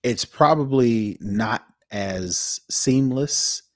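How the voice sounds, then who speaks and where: A man's voice: medium pitch, very slow, dry recording, studio quality. A man co-hosting a tech podcast, chatting casually and hesitantly with many fillers. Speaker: podcast co-host.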